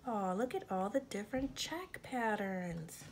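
A woman reading a picture book aloud for children, addressing them as friends and pausing before key words. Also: mid-range voice, sing-song, a little mellow. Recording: clear background noise, home recording. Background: background noise.

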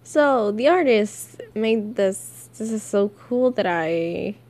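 A young woman giving casual, halting, giggly commentary as she reacts to comics as a video creator. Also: medium pitch, lively intonation, darkish tone.

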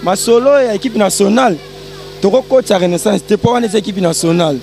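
A young Congolese man rambling through his football opinions on the street, halting, into a handheld mic outdoors. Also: high-pitched, gravelly, a little mellow, soft background music. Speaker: interviewee, football fan. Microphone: reporter's handheld mic.

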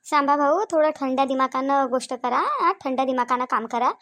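A woman's voice: high voice, moderate pace, fairly bright tone. A woman playing a sensible, protective village sister-in-law with a rural Vidarbha Marathi accent, reasoning insistently and repeating herself in an amateur family drama. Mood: calming.

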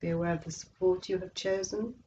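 A woman leading a guided yoga relaxation meditation in a slow, measured, soothing way with long pauses. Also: fairly low pitch, steady tone, mellow, muffled recording, slight background noise. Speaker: yoga nidra instructor.

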